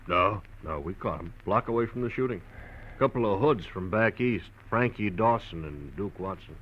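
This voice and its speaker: An easygoing desk sergeant from an old radio play talks in a chatty, offhand way, as a man plays him. His voice sits a little low, and the sound is dull and lo-fi, like a 1950s broadcast. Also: animated, normal speed, a little hiss or hum, lightly acted. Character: easygoing middle-aged male police sergeant. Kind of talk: 1950s radio drama acting.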